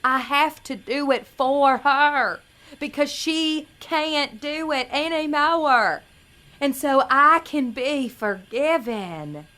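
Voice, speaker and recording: A woman's voice: high-pitched, slow pace, pushed and bright, heavily acted. A woman playing a naive, earnest young pageant contestant in an audio drama, prim and melodramatic in manner. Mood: solemn, earnest.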